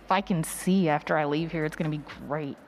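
A woman's voice: deep voice, steady tone, fast talker, a little mellow, faint music behind. A woman presenting a workshop, chatting casually and playfully with her audience from a stage. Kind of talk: casual chat with audience before a talk. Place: on a stage at a live conference session. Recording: noticeable background noise, live event ambience.

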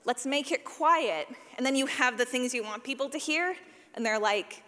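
A woman giving an informal talk as a sound designer, animated and self-deprecating, with a jokey manner and frequent use of "like". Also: high-pitched, moderate pace. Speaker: speaker, sound designer for audio fiction. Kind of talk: informal conference talk with audience questions. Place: large reverberant room, live talk. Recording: reverberant hall sound.

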